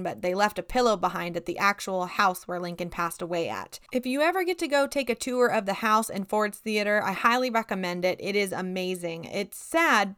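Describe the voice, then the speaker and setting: A woman's voice: mid-range voice, a bit bright, smooth, no room sound, studio quality. A woman hosting a podcast, reading scripted ghost stories in an earnest, plain manner while her voice is starting to give out. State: losing her voice. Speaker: podcast host.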